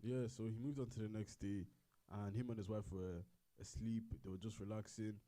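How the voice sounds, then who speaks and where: A man's voice: on the low side, fairly even intonation, moderate pace, warm tone. A man casually retelling a story in a rambling, repetitive way and correcting himself as he goes. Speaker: co-host.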